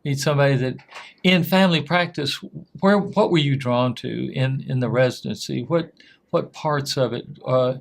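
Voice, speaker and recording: A man hosting a TV interview show, asking questions in a halting way and often repeating his words. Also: medium pitch, creaky. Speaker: host.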